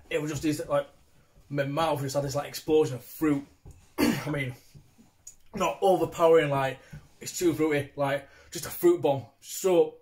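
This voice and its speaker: British male vlogger, 30s, voice a little high, informal chatty review delivery, phone recording indoors. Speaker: beer reviewer, vlog host. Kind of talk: casual chatty review to camera. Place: small domestic room indoors. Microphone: phone propped at arm's length.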